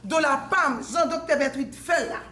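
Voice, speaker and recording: Woman, high pitch, fervent and repetitive speech; echoey hall. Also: normal speed, sharp tone. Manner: fervent, rhythmic repetition, mixes French and Creole. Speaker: community speaker. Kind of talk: impassioned community speech.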